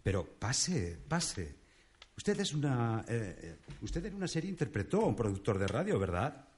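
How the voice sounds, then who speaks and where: A man's voice: mid-range voice, big pitch swings, normal speed, darkish tone, close to an everyday voice. A man playing a bossy, weary gatekeeper of heaven in a comic radio drama. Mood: welcoming.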